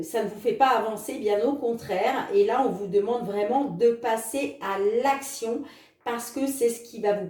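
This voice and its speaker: A woman's voice: fairly high pitch, warm tone, roomy sound, far from the mic. A woman reading oracle cards for her viewers in a casual, chatty way, with many filler words. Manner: chatty, fills with "hein" and "les amis"; reads card messages slowly. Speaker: tarot card reader.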